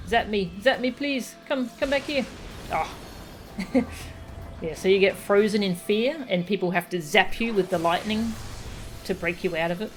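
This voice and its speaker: A woman's voice: medium pitch, normal speed, a little hiss or hum. A woman game streamer giving casual live commentary as she plays.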